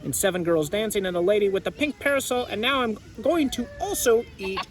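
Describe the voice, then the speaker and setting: A man's voice: high-pitched, animated, a little fast, voice pushed up. A man voicing a greedy, boastful fat cat in a children's storybook, over background noise. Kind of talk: children's storybook read-aloud.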